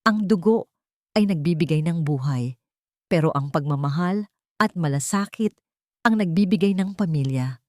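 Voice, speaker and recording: A woman voicing a stern, dignified elderly mother who speaks in a measured, solemn way. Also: on the low side, expressive, a little mellow, dry recording, studio quality, close to an everyday voice. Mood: firm, sorrowful. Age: older.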